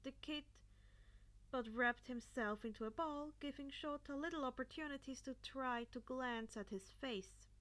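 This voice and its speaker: A woman's voice: high voice, normal speed, fairly bright tone. A woman reading a story as its narrator, in scripted narration. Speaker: narrator, podfic reader.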